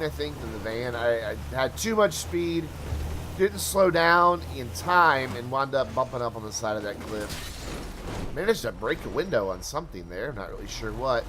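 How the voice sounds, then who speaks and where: A man's voice: fairly high pitch, a bit bright, a little hiss or hum. A man talking casually over gameplay footage as a channel host, chatty and full of asides, his voice tired from hours of talking. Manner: chatty, rambling asides, self-deprecating.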